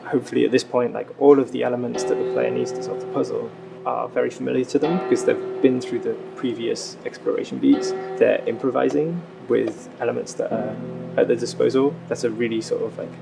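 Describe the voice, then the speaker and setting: A man with a heavily creaky, mid-pitched voice explains things informally as a game designer. Loud guitar music sits under the interview. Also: steady tone, a little fast, low-quality recording. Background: loud plucked guitar music.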